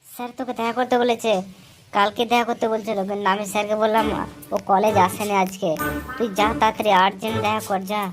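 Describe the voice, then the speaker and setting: A woman's voice: medium pitch, level tone, fast talker, music in the background, natural voice. A woman voicing a conspiratorial young student friend with a coaxing, colloquial manner in a comic cartoon. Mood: urgent, cautioning. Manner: colloquial, coaxing, checks that listener follows. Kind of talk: amateur comic cartoon voice acting.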